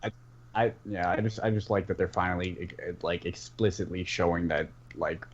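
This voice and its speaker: Very low-voiced man, muffled and noisy. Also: level tone, moderate pace. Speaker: podcast panelist. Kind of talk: casual fan discussion of an animated series. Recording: muffled mic with clear background noise.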